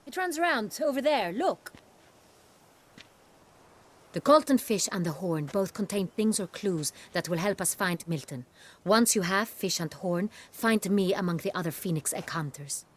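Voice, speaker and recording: An actress playing a commanding, self-assured young aristocratic woman, speaking in a brisk, formal, imperious way. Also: medium pitch, animated. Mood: instructing.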